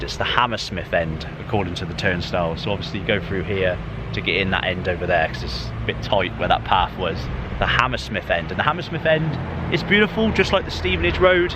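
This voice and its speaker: Chatty English man walking outdoors. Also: on the low side. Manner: chatty, rambling, enthusiastic. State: cold, stiff-mouthed. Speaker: football vlogger. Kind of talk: casual walking vlog. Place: outdoors by a river in a city. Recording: faint outdoor street noise. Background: faint traffic and city ambience.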